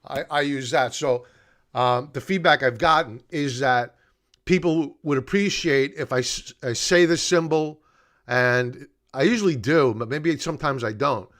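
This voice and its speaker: A man's voice: medium pitch, unhurried, fairly bright tone. Middle-aged man hosting a live stream. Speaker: live stream host, stock market analyst. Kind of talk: unscripted live commentary, chatting to viewers.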